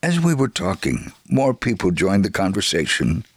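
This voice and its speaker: A man giving an earnest, measured first-person reading of a missionary's letter, in scripted narration. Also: deep voice, very animated, slightly rough, no echo.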